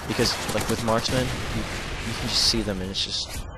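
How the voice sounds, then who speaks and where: Hoarse teen gamer, low-pitched, restarts often, casual commentary over loud gunfire. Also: male voice, fairly even intonation, brisk, heavy background noise. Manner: rambling, self-correcting, with frequent restarts. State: hoarse and sick. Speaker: gameplay commentator. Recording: loud gunfire from the game behind.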